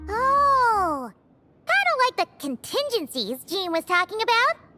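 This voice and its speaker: A woman voicing a tiny, curious female fairy companion in a video game, with a high squeaky voice and an inquisitive, excitable way of asking questions. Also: measured pace, over music, exaggerated voice.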